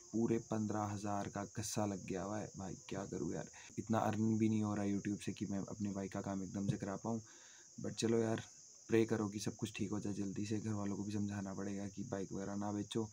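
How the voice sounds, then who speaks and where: Subdued fast-talking young man. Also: on the low side, little pitch movement, warm tone, slight background noise. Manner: fast, repetitive, says "bhai" and "yaar" often. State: injured, in pain, subdued. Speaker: motovlogger. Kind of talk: casual vlog talk to camera. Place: indoors in a small room. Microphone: phone camera at arm's length.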